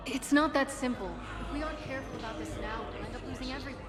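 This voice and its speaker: A woman's voice: sits high, very fast, loud music behind the voice, natural voice. A woman playing a pragmatic, insistent wartime medical worker in a video game, arguing earnestly. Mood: worried. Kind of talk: dramatic video game acting.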